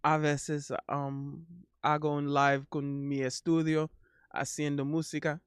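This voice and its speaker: A man's voice: fairly high pitch, level tone, slow pace, smooth, no room sound, studio quality. A man from Miami with Haitian family, native English speaker, hosting a casual livestream and chatting with hesitant ums, switching between Spanish and English. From born in Miami, Haitian family. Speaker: livestream host and singer.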